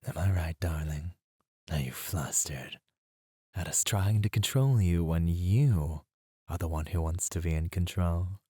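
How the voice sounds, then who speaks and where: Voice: deep voice, warm tone, slightly rough, no echo, studio quality, full character voice. An actor voicing a suave, dominant demon who flirts and teases in an ASMR roleplay. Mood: sly, seductive. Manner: slow, purring, teasing delivery.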